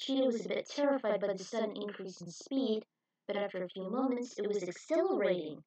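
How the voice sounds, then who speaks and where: A woman's voice: medium pitch, rough recording. A woman reading scripted narration of an action story as its narrator. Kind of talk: scripted fan fiction narration.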